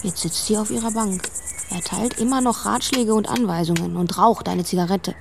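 A woman's voice: mid-range voice, moderate pace, creaky, noisy background, lightly acted. An actress playing a curious, observant teenage girl who narrates her own story matter-of-factly in a radio play. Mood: observing. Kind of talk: scripted radio drama narration.

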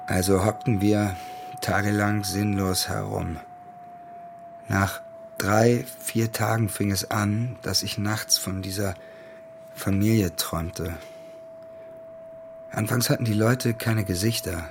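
Low-voiced haunted young soldier. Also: male voice. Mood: haunted, subdued. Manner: halting, repeating words as he confides. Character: traumatized young soldier, haunted and sleepless after deployment. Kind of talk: radio drama monologue. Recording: clear background noise and ambience.

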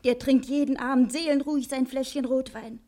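An actress playing an anxious, exasperated wife in an old German radio crime drama, with an emotional, reproachful delivery. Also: female voice, sits high, steady tone, brisk, dark tone, natural voice. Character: anxious, exasperated young wife of a fugitive thief. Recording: vintage 1960 radio drama recording.

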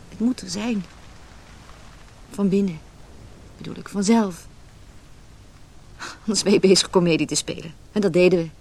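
A woman's voice: mid-range voice, darkish tone, close to an everyday voice. An actress in a Dutch radio drama playing a vulnerable, earnest married woman torn by forbidden love. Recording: noisy radio play recording.

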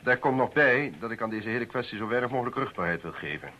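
An actor playing a curt, secretive politician in a radio play, speaking formally and evasively. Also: male voice, medium pitch, lively intonation, fast, close to an everyday voice. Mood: firm, evasive. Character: curt, secretive male politician under threat. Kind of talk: Dutch radio play acting. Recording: muffled, faintly noisy old radio recording.

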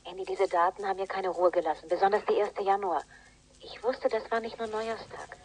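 An actress playing an earnest, diligent police colleague in a German radio drama, explaining things carefully. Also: female voice, medium pitch, fairly even intonation, a little fast, lightly acted, low-quality recording.

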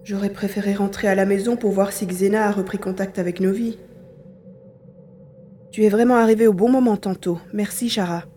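A woman playing a plucky young boy adventurer in an audio drama, with an expressive delivery. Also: medium pitch, brisk, slight background noise, lightly acted. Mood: pained, curious. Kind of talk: acted audio drama with inner narration.

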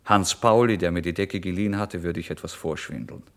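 A man narrating a literary first-person story in a brooding, expressive way, moving between hushed and emphatic delivery. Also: deep voice, fairly quick. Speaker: audiobook narrator.

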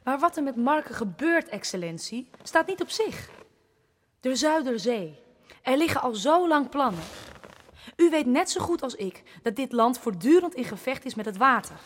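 Young village woman, high n very animated, stubborn and indignant, radio play. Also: normal speed. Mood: urgent, pleading. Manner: insistent, emphatic, defiant. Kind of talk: Dutch radio drama acting.

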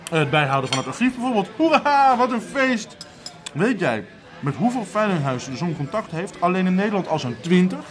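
An actor playing an excitable, sarcastic young man in a naturalistic Dutch radio drama, speaking emphatically. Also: high-pitched, brisk, soft background music. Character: excitable, sarcastic young man, griping about his job.

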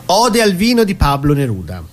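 Guest actor, male, fairly high voice with a lot of swing, chatty and theatrical. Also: moderate pace. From Italy, not Rome. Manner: animated, rising into dramatic recitation. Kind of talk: radio interview chat and poetry reading. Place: radio studio.

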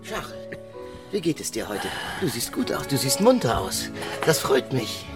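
Man playing a polite gentleman visitor, mid-range voice, reassuring, slightly hesitant, radio drama. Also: medium pitch, very animated, moderate pace, music in the background, close to an everyday voice. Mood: encouraging.

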